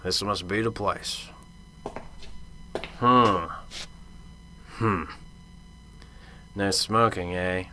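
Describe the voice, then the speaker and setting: Man playing a sharp-edged, cynical middle-aged detective. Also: low voice, fairly even intonation, very slow, pauses often, slight background noise, full character voice. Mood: sardonic, unimpressed. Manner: gruff, curt, sardonic. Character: cynical, sarcastic upper middle-aged male police detective from Chicago. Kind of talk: radio drama acting.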